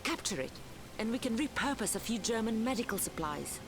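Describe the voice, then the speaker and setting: An actress playing a determined Polish partisan commander in a military game, giving deliberate orders in a Polish accent. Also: female voice, on the high side, expressive. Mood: resolute, commanding. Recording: battle noise behind the voice.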